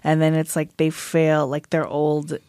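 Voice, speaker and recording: Mid-pitched American voice in casual debate. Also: completely flat delivery, measured pace, darkish tone, clean tone, no room sound, studio quality. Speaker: book discussion panelist. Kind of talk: casual discussion.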